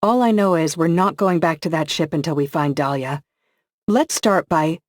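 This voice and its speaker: A woman's voice: low-pitched, moderate pace, no room sound, clean studio sound, close to an everyday voice. A man voicing a tough, sarcastic young woman warrior in an audiobook, wry and bold. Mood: urgent. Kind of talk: audiobook dialogue.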